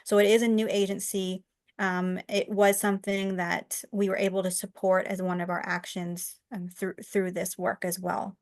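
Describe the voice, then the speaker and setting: A woman panelist explaining things in a measured, diplomatic way during an online question-and-answer session. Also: medium pitch, flat delivery. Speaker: expert panelist. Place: online video call.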